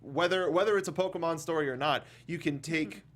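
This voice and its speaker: A man hosting a casual film discussion, talking in a halting way and often restarting or repeating his phrases. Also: on the high side. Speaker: talk show host.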